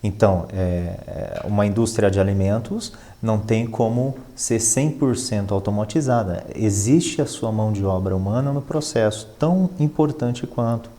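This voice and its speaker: Male interview guest, lowish pitch, dark relaxed tone, formal measured delivery with halting phrases. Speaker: finance professional and professor, interview guest. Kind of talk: conversational interview answers.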